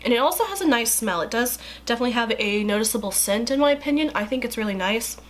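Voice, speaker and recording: A woman's voice: on the high side, lively intonation, fast, slight background noise. A young woman with a stuffy, congested voice chatting casually through a skincare review, with lots of likes and ums. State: congested from a cold. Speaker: beauty vlogger.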